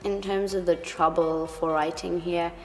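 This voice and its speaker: A woman's voice: lowish voice, little pitch movement, unhurried, darkish tone, big-room sound. A young woman who grew up in Hong Kong, talking thoughtfully and measuredly as a first-year student in a documentary interview. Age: young adult.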